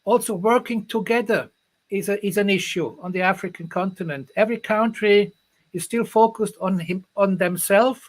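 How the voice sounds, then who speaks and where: A man from Ghana with a high-pitched voice talks earnestly on a video call. He often circles back and repeats his phrases before going on. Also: moderate pace. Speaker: guest, rail project executive. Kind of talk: online panel discussion.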